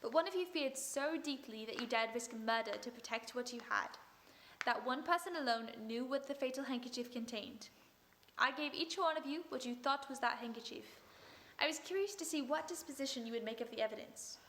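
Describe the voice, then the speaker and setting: A woman playing a bright-voiced commanding detective. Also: sits high, slight background noise. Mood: composed. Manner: measured and authoritative. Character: calm, commanding female detective. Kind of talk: 1940s radio acting.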